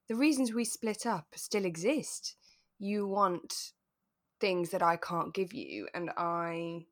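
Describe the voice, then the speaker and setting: A woman co-hosting a relaxed discussion podcast, retelling things expressively in a conversational way. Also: mid-range voice. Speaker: podcast co-host. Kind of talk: relaxed chat reviewing a radio drama.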